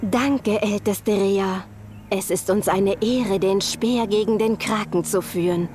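A woman's voice: medium pitch, fairly even intonation, slow pace, darkish tone, faint music behind, close to an everyday voice. A woman voicing an earnest, respectful young warrior heroine in a fantasy game. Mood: earnest, determined.

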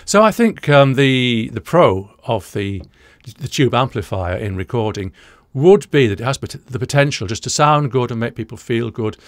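A British man in his sixties presenting an explanatory audio commentary, deliberate and didactic, repeating key points, close to the microphone in a small studio. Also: medium pitch, expressive, dry recording. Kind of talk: explanatory reaction commentary to camera. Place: small indoor studio room, dry.

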